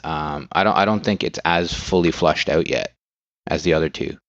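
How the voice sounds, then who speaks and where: A man from Canada co-hosts a tech podcast and hedges as he gives his opinions. His voice is very low, and the recording sounds slightly dull and dry. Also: moderate pace, a bit bright, dry recording. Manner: hesitant, hedging. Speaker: podcast co-host. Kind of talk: casual tech chat.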